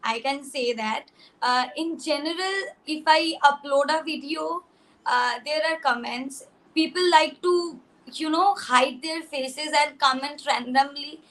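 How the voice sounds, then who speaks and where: Young woman, husky, high-pitched, hesitant, many fillers, video call. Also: unhurried, fairly bright tone, rough recording. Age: young adult. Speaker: content creator guest.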